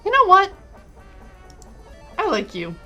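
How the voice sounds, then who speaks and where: Woman voicing a bright, earnest, tearful young woman. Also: extremely high pitch, wildly swooping pitch, slow pace, soft background music, pitched above the performer's own voice, full character voice. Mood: grateful, eager. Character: tearful, clingy, sweetly earnest young woman shop clerk. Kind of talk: dating sim character read aloud.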